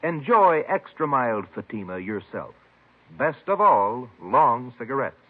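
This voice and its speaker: An American man announcing a 1950s radio commercial with an emphatic sales pitch, on a tinny vintage recording. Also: very animated, a little slow. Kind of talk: 1950s radio advertisement read.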